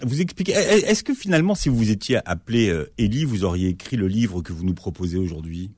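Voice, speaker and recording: A man with a fairly low voice hosts a radio book program. He questions his guest closely, pushing back and cutting in. His voice sits dry and close to the microphone. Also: lively intonation, brisk, a little mellow, slightly rough, dry recording. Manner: probing, challenging questions, cuts in. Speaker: radio book show host. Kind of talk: radio interview.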